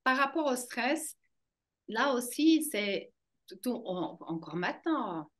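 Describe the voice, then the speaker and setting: Woman, slightly high voice, animated, conversational personal account. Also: slow pace. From German-speaking Switzerland. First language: German. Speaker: interview guest, fascia trainer.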